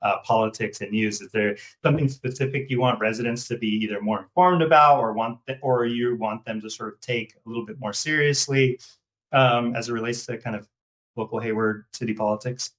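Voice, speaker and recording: American guy hosting a podcast, chatty interview style, halting and wordy, circles around his questions. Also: mid-range voice, a bit bright, low-quality recording. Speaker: podcast host. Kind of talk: conversational interviewing.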